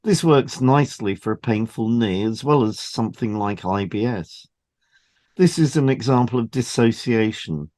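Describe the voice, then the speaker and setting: A man's voice: mid-range voice, lively intonation, normal speed, a little mellow, dry recording. Man presenting an educational podcast, dry sound. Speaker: podcast co-host and hypnotherapist. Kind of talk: scripted educational narration.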